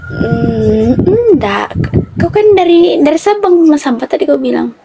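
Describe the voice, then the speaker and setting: Woman streamer, high and teasing, faint noise under. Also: sing-song, a little slow, creaky. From Toraja, South Sulawesi. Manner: teasing, chatty, laughs often. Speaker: live-stream host. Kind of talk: casual live-stream chat.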